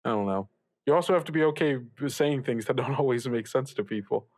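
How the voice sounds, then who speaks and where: A man's voice: mid-range voice, fairly quick, darkish tone, close to an everyday voice. A man playing a goofy, showy teenage boy who hosts a radio show, rambling and joking in improvised banter. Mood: friendly, bemused. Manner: rambling, stumbling, jokey hype.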